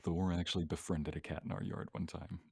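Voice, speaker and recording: A man's voice: low voice, level tone, brisk, gravelly, a little mellow, husky. A man on a live stream, chatting casually and telling everyday anecdotes. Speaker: streamer, guest.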